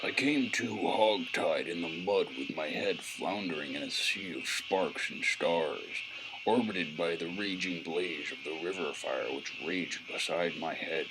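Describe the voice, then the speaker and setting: A man playing a world-weary, sardonic gumshoe, delivering brooding, florid and deliberate hardboiled noir lines in an audio drama. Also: sits low, heavy background noise. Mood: brooding, tormented. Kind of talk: scripted hardboiled noir narration.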